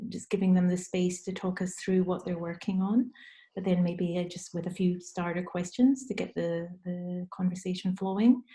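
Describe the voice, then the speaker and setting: A woman moderating an online panel, speaking in a measured way with pauses mid-phrase. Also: fairly low pitch, dark tone. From Scotland or Denmark by descent, living in Australia. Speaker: panel moderator, arts manager. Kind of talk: event introduction and moderation.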